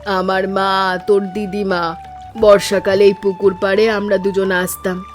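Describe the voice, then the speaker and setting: A woman playing an affectionate middle-aged village aunt in an animated story, speaking tenderly with homely, fond scolding. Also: medium pitch, a little slow, soft background music, natural voice. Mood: nostalgic, tender.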